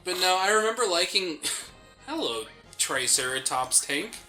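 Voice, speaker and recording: A man's voice: high-pitched, big pitch swings, a little slow, bright, forceful tone. A young man co-hosting a gaming show, chatting casually in a rambling, slangy way as he plays. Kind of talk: casual chat during video game commentary. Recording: game music behind the voice.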